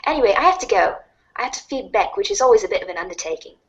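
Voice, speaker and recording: A woman's voice: medium pitch, expressive, rapid-fire, lo-fi sound, natural voice. A woman playing a cheerful, quirky teenage girl with a bubbly, teasing, emphatic way of talking in a fan audio drama. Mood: earnest, upbeat.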